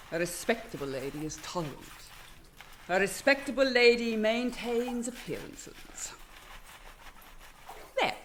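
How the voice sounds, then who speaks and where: A woman's voice: fairly high pitch, a bit bright, slight background noise. An actress playing a haughty, brittle, respectable 19th-century lady in a period radio drama, speaking precisely and archly. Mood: brisk, stern. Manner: imperious, precise, arch, theatrical.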